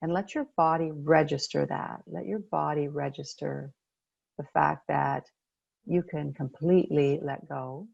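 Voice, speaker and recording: Meditation coach, woman, low gravelly fry, gentle and unhurried delivery. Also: fairly even intonation, dry recording, lo-fi sound. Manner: gentle, unhurried, pausing between phrases. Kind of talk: guided meditation.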